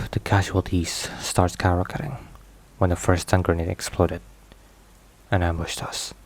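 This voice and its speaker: A man playing a stern, protective, weary mafia boss in an intimate ASMR roleplay, speaking in a grave, measured, halting way. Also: deep voice. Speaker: voice actor. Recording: steady rain and thunder noise. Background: rain and thunder.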